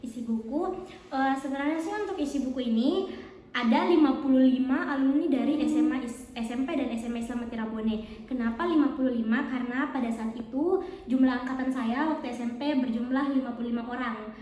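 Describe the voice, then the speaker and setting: A woman's voice: sits high, brisk, mellow. A young woman from Indonesia giving explanatory answers as an interview guest. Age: teens or young adult. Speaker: podcast guest, student book co-author. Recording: very echoey, reverberant hall.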